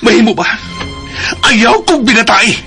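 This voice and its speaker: A man's voice: very high-pitched, expressive, measured pace, pushed and bright, full character voice. An actor playing a cold, irritated man in a radio drama, curt and exasperated, with a Cebuano Filipino accent. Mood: stern. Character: cold, irritated man rebuffing a clingy woman. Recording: radio drama with faint music bed.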